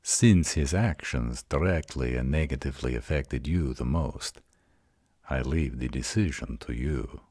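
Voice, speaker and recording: A man voicing an arrogant, commanding vampire in an audio roleplay, speaking in a formal, measured and stern manner. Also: rumbling low, darkish tone, dry recording, clean studio sound. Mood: grave, formal.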